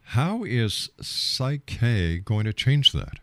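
A man's voice: mid-range voice, sing-song, takes it slowly, a bit bright, dry recording. A man hosting a radio interview, asking probing questions in a direct, challenging manner.

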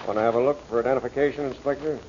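An actor playing a no-nonsense waterfront crew boss in an old radio drama, with a muffled, noisy sound. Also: male voice, medium pitch, fairly even intonation, rapid-fire, lightly acted. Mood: matter-of-fact. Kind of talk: 1940s radio acting.

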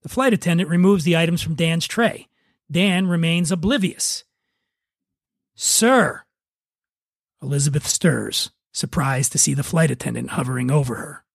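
A man's voice: a little high, moderate pace, gravelly, no echo, clean studio sound. A man hosting a podcast, talking casually and narrating a script. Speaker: podcast host and writer.

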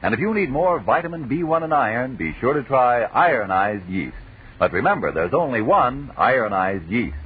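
A man's voice: mid-range voice, sing-song, moderate pace, husky. An American man working as a 1940s radio announcer, with a polished, emphatic sales delivery. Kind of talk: 1940s radio advertising and banter. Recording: muffled, phone-like 1940s radio recording.

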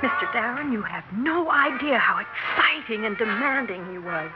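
A woman's voice: a little high, lively intonation, moderate pace, over loud music, natural voice. A woman playing a naive, eager, stage-struck young actress in an earnest, impressionable way, in a 1960s radio drama. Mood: earnest recounting. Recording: noisy, dull 1960s radio recording.

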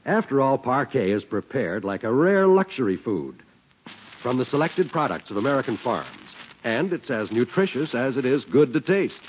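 Male announcer for vintage 1940s radio, animated pitch, muffled period recording. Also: normal speed, a little hiss or hum. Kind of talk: 1940s radio advertising and scripted narration.

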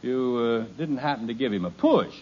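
A man's voice: mid-range voice, moderate pace, darkish tone, close to an everyday voice. An actor playing an exasperated suburban father with dry humor in a 1950s radio sitcom. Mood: wry. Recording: dull old radio recording.

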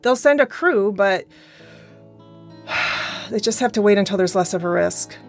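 A woman acting a level-headed, conflicted survivor in an audio drama, reasoning in a measured, serious way. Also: mid-range voice, expressive, soft background music.

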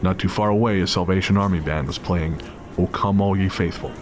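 A man's voice: deep voice, fairly even intonation, brisk. A man narrating a scripted audio drama as storyteller.

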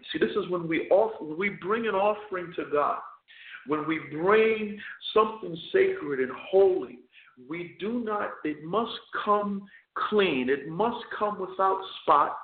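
A man serving as chaplain and radio host, preaching slowly and deliberately with many fillers, hoarse and losing his voice. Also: on the high side. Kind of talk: radio sermon with scripture reading. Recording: tinny phone line, echoey.

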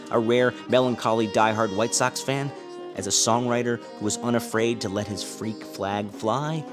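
A man hosting a podcast, musing earnestly through rhetorical questions before he introduces a guest. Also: lowish voice. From United States. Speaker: podcast host and musician. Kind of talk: reflective monologue and interview.

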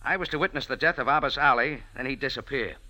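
An actor playing a tough, wisecracking cafe owner who narrates in a clipped, hard-boiled style, in 1940s radio acting. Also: male voice, medium pitch, fast talker, sharp tone, rough recording. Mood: dry. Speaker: lead and narrator.

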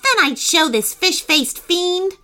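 A woman's voice: very high-pitched, animated, takes it slowly, sharp tone, faint music behind, voice pushed up, full character voice. A woman voicing a brave, cheeky female dog with an eager, breezy manner in a children's story. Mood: bold frustration. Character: brave, cheerful, cheeky female dog, magically grown huge.

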